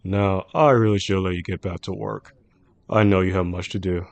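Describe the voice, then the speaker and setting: Casting: male actor as a composed male chief executive for intimate roleplay audio, low-pitched, measured and formal, protective with a possessive undercurrent. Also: fairly even intonation, gravelly, darkish tone. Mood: caring, insistent. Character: composed, caring male CEO boss, secretly obsessive. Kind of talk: intimate scripted roleplay audio addressed to the listener.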